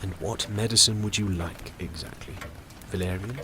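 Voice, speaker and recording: Man as dry, low-voiced older doctor. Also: background noise, natural voice. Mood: dry, sardonic. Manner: measured, dry, reasoning. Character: worldly, unsentimental older doctor, dryly sardonic. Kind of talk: audio drama acting.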